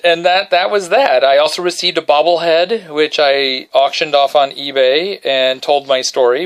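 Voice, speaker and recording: A man tells a funny, self-deprecating story to his podcast listeners, singing short bits under his breath. He is recording at home in his bedroom. His voice is smooth and clear and sits a little higher than most men's. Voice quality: baritone. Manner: self-deprecating, animated storyteller who sings snippets. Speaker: podcast host. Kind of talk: casual solo podcast storytelling.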